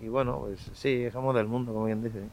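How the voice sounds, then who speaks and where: Man, 30s, from Portugal, circus clown; mid pitch, chatty, traffic clearly behind. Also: steady tone, brisk. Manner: chatty, hesitant starts. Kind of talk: casual interview answers.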